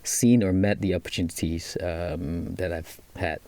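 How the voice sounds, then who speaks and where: A man's voice: low voice, steady tone, measured pace, dark tone, dry recording. A Malaysian man in his thirties, a business guest in an interview, speaking with a Malaysian accent and many fillers and restarts. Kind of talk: casual interview talk.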